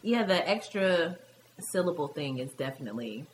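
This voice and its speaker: A woman with a low-pitched voice chats casually, her American accent Southern with Midwestern traits. She comes across as wry and self-deprecating. There is faint noise in the background. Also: big pitch swings, moderate pace, rough recording. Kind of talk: casual chat.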